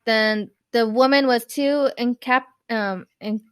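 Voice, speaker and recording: A woman's voice: on the high side, unhurried, fairly bright tone, clear, resonant tone. A woman co-hosting a podcast, retelling a true crime case in casual chat, rambling with lots of "like"s and tripping over long words. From New York. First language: Spanish. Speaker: podcast co-host.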